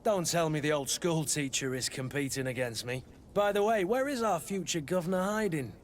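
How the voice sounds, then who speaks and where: An actor playing a gruff, loyal mercenary weapons master in a video game, wry and bantering. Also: male voice, a little high, animated, moderate pace, a little hiss or hum, lightly acted.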